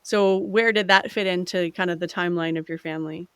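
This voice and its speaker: Smooth-voiced curious podcast co-host. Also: medium pitch, steady tone, brisk, no room sound. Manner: curious, gently probing questions. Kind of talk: casual interview conversation.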